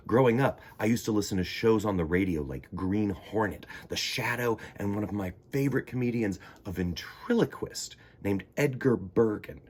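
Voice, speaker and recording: A man's voice: fairly low pitch, darkish tone, slightly rough. A man reading a children's picture book aloud expressively, with dramatic delivery and conversational asides. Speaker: storytime host reading aloud.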